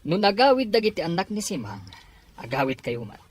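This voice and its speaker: A man's voice: high-pitched, very animated, fairly quick, breathy edge, exaggerated voice. A man playing a stern, hard-hearted farming father in a radio drama, blunt and domineering with an Ilocano accent. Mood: stern warning.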